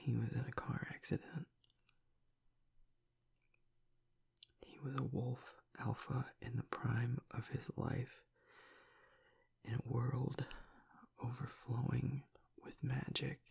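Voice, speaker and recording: A man playing a tough young werewolf pack alpha broken by grief in an intimate audio roleplay, halting and choked up, with a breathy, raspy voice. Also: medium pitch, a little mellow, full character voice. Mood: bitter grief. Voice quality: breathy, raspy whisper with gravelly breaks. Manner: halting, fragmented, swearing under strain. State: choked up, grieving.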